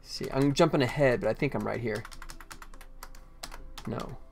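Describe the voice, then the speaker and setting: An American man in his forties chatting casually and haltingly as he livestreams game development, thinking aloud. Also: mid-range voice, creaky, darkish tone. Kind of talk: casual chat while working.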